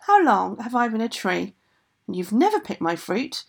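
A woman's voice: on the high side, sing-song, normal speed, gravelly, breathy edge, exaggerated voice. A woman voicing aggrieved enchanted things that reproach their mistress in a storytale. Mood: indignant, reproachful. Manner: indignant, reproachful. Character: aggrieved enchanted household things and servants refusing orders.

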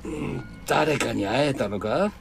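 An actor playing a gruff middle-aged man in a radio drama, speaking in blunt, terse, old-fashioned masculine phrases. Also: mid-range voice, unhurried, a little hiss or hum. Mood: gruff, awkward. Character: gruff hardboiled middle-aged man, a reluctant spirit medium. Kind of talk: radio drama dialogue and narration.

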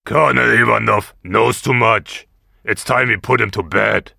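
A gravelly, cold-blooded male spy, put on by a male storyteller, slow and clipped in every threat. The voice is harsh and piercingly bright, with a crackle of fry underneath. It sounds dry and close, like a storybook read at the mic. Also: fairly low pitch, no echo, full character voice. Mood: menacing.

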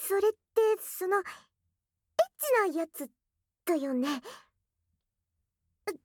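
A woman's voice: extremely high pitch, animated, unhurried, a little mellow, no room sound. A voice actress playing a young tsundere girlfriend, bashful yet teasingly bold, in an intimate ASMR audio drama on a close binaural mic. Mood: flustered. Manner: flustered, stammering, breathy asides dropping into whispers. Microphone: close binaural microphone, dry studio.

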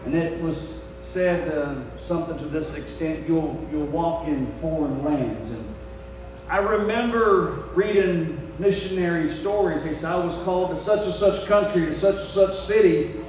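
A man's voice: on the high side, a little slow. An American man in his forties preaching a personal testimony, folksy and dramatic, telling stories and acting out remembered dialogue. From United States. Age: 40s. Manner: folksy, dramatic, acts out remembered dialogue, swings from quiet confiding to shouting. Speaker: preacher and missionary. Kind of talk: sermon testimony, storytelling to a congregation. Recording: echoey hall, distant, faint hum.

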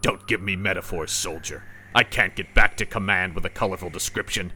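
A man playing a gruff, no-nonsense military commander with a clipped, stern delivery in a fantasy audio drama. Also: deep voice, brisk, pushed and bright, faint music behind.

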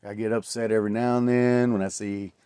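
A man in his late forties talks through his own solo podcast in a low voice. He rambles and reflects, with plenty of fillers and restarts. The sound is close and dry, with no room echo. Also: level tone, fairly quick, dark tone, clear, resonant tone. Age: 40s. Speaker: podcast host. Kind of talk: casual solo podcast monologue.

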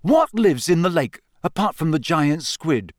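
Audiobook schoolboy acted by a man: earnest, puzzled, a touch hesitant, pitched up, recorded dry. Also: big pitch swings, measured pace, dry recording. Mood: curious, puzzled. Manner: questioning, slightly hesitant. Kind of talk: audiobook character voice.

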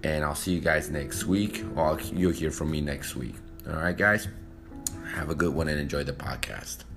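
A man's voice: extremely low pitch, steady tone, normal speed. A man hosting a podcast, talking energetically and rambling a little, laughing often with his guest. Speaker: podcast host. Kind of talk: casual podcast conversation. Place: room outside a studio. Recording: roomy sound with music underneath.

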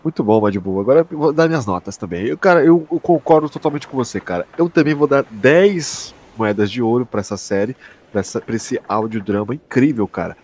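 Male podcast host, Brazilian accent, slightly rough husky tone, excited and sweary casual chat. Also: mid-range voice, fairly quick. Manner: slangy, swearing, emphatic. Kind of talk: casual chat, enthusiastic review.

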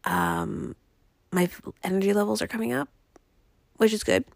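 A young woman podcast host chatting casually and ramblingly, her voice raspy and congested as she recovers from illness. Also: mid-range voice, wildly swooping pitch, normal speed, dry recording. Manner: rambling, conversational. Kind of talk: casual personal podcast chat.

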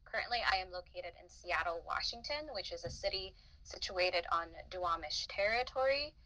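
Bright-voiced Filipina woman, thoughtful. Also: on the low side, expressive, moderate pace, low-quality recording. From Philippines. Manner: thoughtful, with frequent fillers and restarts. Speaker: podcast guest, psychotherapist in training. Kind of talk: reflective interview talk.

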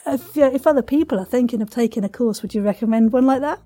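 A woman's voice: fairly high pitch, fast, dark tone. A woman hosting an interview with effusive, enthusiastic chat and frequent giggly delivery. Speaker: podcast host.

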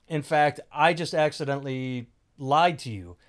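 A man's voice: fairly high pitch, normal speed. A middle-aged man hosting a podcast, rambling casually, swearing freely and restarting his sentences. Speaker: podcast host. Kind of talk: casual chat.

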